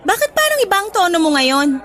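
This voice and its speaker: Young girlfriend, radio drama, very high voice, bright and tense, hurt, confrontational, faint music. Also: big pitch swings, fast, a faint crowd, full character voice. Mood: suspicious. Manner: emotional, pleading, confrontational. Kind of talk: Tagalog radio drama acting.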